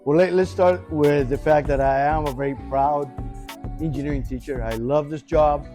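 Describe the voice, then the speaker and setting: A man's voice: on the high side, steady tone, moderate pace, music in the background. A middle-aged man from Cuba, a teacher answering interview questions proudly and emphatically, now and then restarting a phrase.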